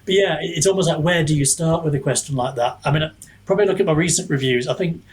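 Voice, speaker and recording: A man's voice: on the high side, fast talker, slight background noise. A man speaking as a podcast guest in casual discussion, reflective and hesitant as he thinks aloud. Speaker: podcast guest, author and book reviewer.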